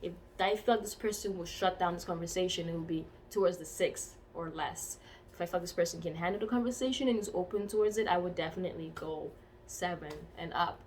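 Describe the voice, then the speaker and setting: A woman's voice: fairly low pitch, fast talker, a little mellow. A woman in her twenties answering interview questions in a casual, thoughtful way. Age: 20s. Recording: faint background noise.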